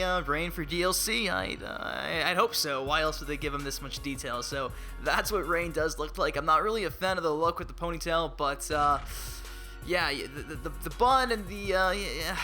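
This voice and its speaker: A young man talks over gameplay with excitable, hype-driven energy, a little raspy from a voice still on the mend. His voice sits slightly high and rings bright and forceful. Light music plays behind him. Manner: excitable, hype-driven, laughs and blurts out reactions. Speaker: gaming YouTuber. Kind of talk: casual gaming commentary.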